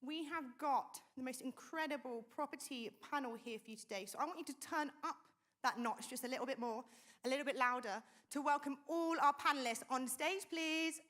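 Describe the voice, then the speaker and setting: Female event host, slightly high voice, upbeat crowd-rallying manner. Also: fairly quick, a bit bright. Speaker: event host and panel moderator. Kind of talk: energetic stage hosting. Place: conference hall stage.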